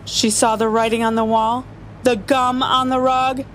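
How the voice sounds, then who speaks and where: A woman's voice: a little high, level tone, unhurried, fairly bright tone, slight background noise. A woman reading a children's picture book aloud as a story-time reader, playful and often chuckling.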